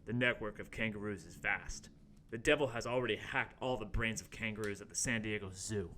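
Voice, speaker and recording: A man plays an intern hero in a horror-comedy radio play. He speaks in a theatrical, portentous way with a bright, forceful voice. There is faint noise on the recording. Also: lowish voice, a little fast. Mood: urgent, resolute. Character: dramatic male intern hero narrating a horror-comedy apocalypse. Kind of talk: amateur radio play table read.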